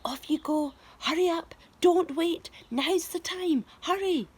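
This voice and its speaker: A teacher voicing a secretive old man who gives hushed, urgent instructions in a storybook reading. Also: extremely high pitch, slow pace, pitched above the performer's own voice. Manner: hushed, whispering, then hurrying.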